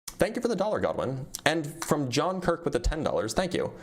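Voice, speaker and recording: A man's voice: on the high side, huge pitch swings, brisk. A man physicist reading viewer questions aloud on a casual livestream and answering them plainly, with frequent ums, as he starts to lose his voice.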